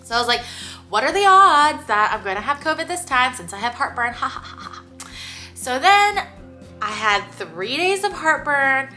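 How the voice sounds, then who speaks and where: Chatty bright-voiced young woman, slightly stuffy. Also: high voice, very animated, faint music behind. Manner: chatty, many "like" fillers, quick laughs. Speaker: vlogger. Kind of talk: casual chat to camera.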